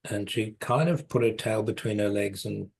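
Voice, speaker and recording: Older New male guest, low-pitched, meandering and self-correcting. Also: moderate pace, vocal fry, a little mellow. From South Africa, raised in New Zealand. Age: 70s. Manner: rambling, self-correcting, chuckles lightly. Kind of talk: relaxed storytelling interview.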